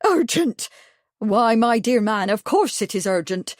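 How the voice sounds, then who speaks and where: A woman voicing a loud, pompous middle-aged village busybody who speaks shrilly and officiously. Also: fairly high pitch, sing-song, measured pace, no room sound, studio quality. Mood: insistent.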